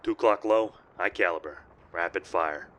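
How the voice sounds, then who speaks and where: A man voicing a gruff, battle-hardened lieutenant piloting a war machine in a military audio drama, with clipped, muttered or snarled callouts. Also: low-pitched, moderate pace, fairly bright tone. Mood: focused, terse.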